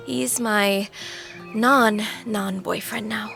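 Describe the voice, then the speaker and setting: A woman's voice: medium pitch, steady tone, very slow, vocal fry, pushed and bright, soft background music, full character voice. An actress playing a restless, self-effacing and tender woman with a hesitant manner, in an audio drama. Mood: admiring, tender.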